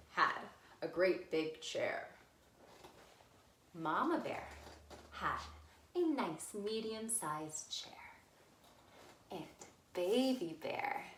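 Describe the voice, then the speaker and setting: A woman telling a story to young children as a librarian, with simple, deliberate phrasing. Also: medium pitch, fairly bright tone, a little hiss or hum. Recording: roomy, echoey room sound.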